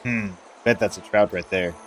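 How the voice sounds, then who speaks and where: An easygoing male adventurer, played by an actor, muses aloud in a low, laid-back voice. It is audio drama acting with faint music behind. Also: fairly even intonation, normal speed, fairly bright tone, lightly acted. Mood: musing, content.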